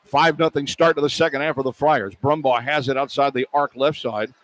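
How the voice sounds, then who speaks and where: A man's voice: medium pitch, fairly even intonation, brisk. A man calling live basketball play-by-play on the radio, describing the action in clipped running phrases. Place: large indoor basketball arena. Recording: noisy live arena broadcast. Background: loud arena ambience.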